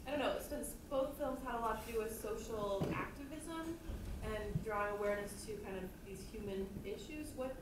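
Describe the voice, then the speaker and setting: A woman's voice: fairly high pitch, normal speed. A woman in the audience asking a question, tentative and searching for words. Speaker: audience member. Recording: echoey hall, distant mic, noise.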